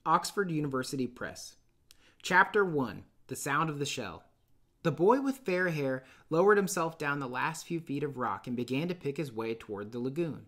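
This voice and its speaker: A man in his thirties teaching and reading aloud in an expressive, emphatic, explanatory way. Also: a little high. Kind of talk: explanatory lesson and storybook narration. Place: small dim room indoors.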